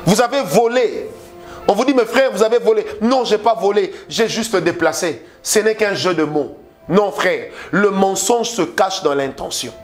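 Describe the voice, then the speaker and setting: Male preacher, Congolese French accent, high voice, forceful sermon delivery, faint music underneath. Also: moderate pace. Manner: emphatic preaching with repeated phrases and rhetorical questions.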